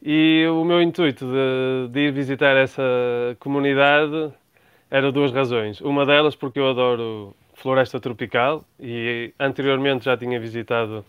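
A man's voice: medium pitch, steady tone, normal speed, smooth, no echo, highs cut off. A man in his thirties from Portugal with a Portuguese accent, a guest answering interview questions thoughtfully, often restarting his words. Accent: European Portuguese. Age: 30s. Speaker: guest, traveler. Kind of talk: conversational interview.

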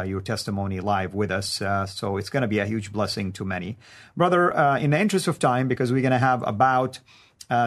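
Male interview host, measured and courteous questioner, filler-heavy. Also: fairly low pitch. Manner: measured, polite, frequent uh fillers.

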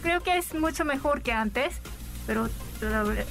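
A young woman from South Korea talks in careful, halting Spanish with a Korean accent, often stopping to find the word and letting out small shy laughs. Her voice sits a touch high, and soft music plays faintly underneath the radio interview. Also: expressive. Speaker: guest reporter.

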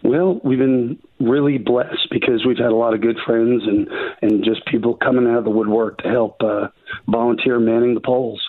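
Raspy-voiced man on a phone line. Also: medium pitch, steady tone. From Arkansas. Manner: halting, many restarts and fillers. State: raspy voice after covid. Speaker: judicial candidate, phone guest.

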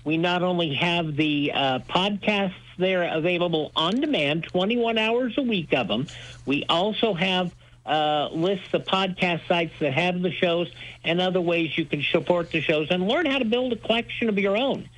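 A man's voice: high-pitched, moderate pace, a bit bright, rough recording. A man talking enthusiastically as a radio host being interviewed, with an emphatic, folksy storytelling manner. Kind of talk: enthusiastic interview talk about old-time radio.

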